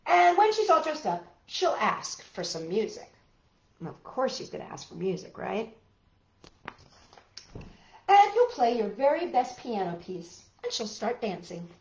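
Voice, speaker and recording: Woman storytime host for young children, high lively voice, exclaims and chats to listeners between lines, roomy sound. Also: a little slow, long pauses. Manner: animated, exclaiming, addressing children directly. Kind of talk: read-aloud storytelling for young children with chatty asides. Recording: roomy, dull lo-fi sound, faint music.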